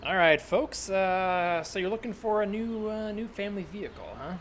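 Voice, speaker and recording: A man's voice: high voice, normal speed, fairly bright tone, a little hiss or hum. A man playing an easygoing, obliging car salesman in an improvised comedy sketch.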